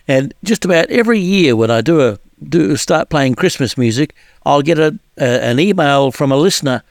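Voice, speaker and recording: A man's voice: a little high, dry recording, studio quality. A man hosting a radio music countdown, chatting casually with lots of fillers and restarts. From New Zealand. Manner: rambling, halting with many fillers and restarts.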